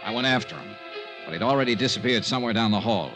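A man's voice: on the low side, expressive, fast, fairly bright tone. An actor playing a tough, shrewd male investigator in an old time radio mystery, speaking in a clipped, knowing way. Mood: terse narrating. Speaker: lead actor and narrator. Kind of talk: old time radio detective acting and narration. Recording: vintage radio recording with music.

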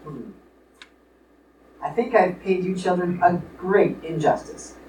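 A man playing a stern, suspicious, blustering father in a live radio play, speaking deliberately. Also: sits high, lively intonation, dark tone. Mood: indignant. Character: stern, suspicious rich father, middle-aged or older man. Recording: roomy, distant stage recording with noise.

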